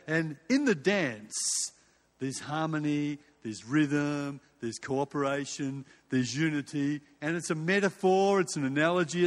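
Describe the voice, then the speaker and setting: An older man with an Australian accent giving a sermon in an informal, conversational storytelling way, with rhetorical questions and repetition. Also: a little high. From Western Australia. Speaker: preacher. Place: large hall or church. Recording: reverberant hall sound.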